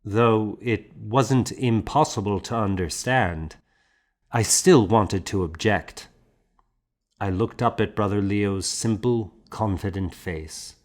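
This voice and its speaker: A man narrating a historical novel as an audiobook in a measured, deliberate, literary reading style. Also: lowish voice.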